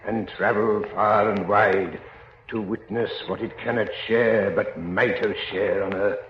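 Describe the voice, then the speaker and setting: A gloomy ghost, creaky and slow, grave intoning, old hissy radio play. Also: male voice, lowish voice, fairly even intonation. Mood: mournful, warning. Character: solemn, mournful ghost of a dead businessman. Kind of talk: 1930s radio drama acting. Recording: muffled, echoey old radio recording with hiss.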